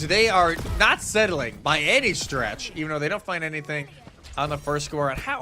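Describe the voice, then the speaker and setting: A man's voice: high voice, bright, forceful tone. A man giving casual, analytical live commentary as an esports caster, with music faintly behind him.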